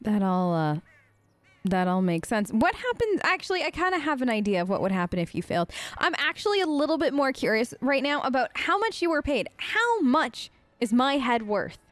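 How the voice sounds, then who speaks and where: A woman's voice: sits high, very animated, normal speed, dry recording. A woman doing playful, chatty gameplay commentary, sometimes speaking in character, with a mock-whiny touch. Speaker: gamer doing a role-play let's play.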